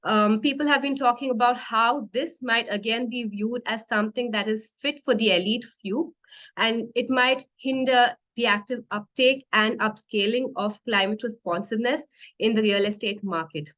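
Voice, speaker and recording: A woman moderating a virtual panel session, speaking in a measured way with frequent fillers over a video call. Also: on the high side, fairly even intonation, fairly bright tone. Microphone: computer mic on video call.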